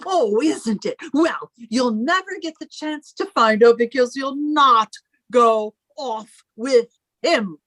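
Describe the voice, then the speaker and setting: Actress as controlling spinster sister, high voice, dry close sound, menacing formal delivery, vintage radio drama. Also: female voice, animated, slow pace, a bit bright, dry recording, full character voice. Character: stern, controlling, lonely older sister guarding her family's money. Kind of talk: old-time radio melodrama acting.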